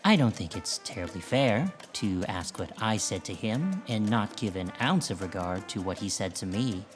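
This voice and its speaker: A man's voice: fairly low pitch, animated, moderate pace, over music, lightly acted. An actor playing a smug, evasive male ex-villain without powers, speaking in a pompous, self-important way in a comedic audio drama.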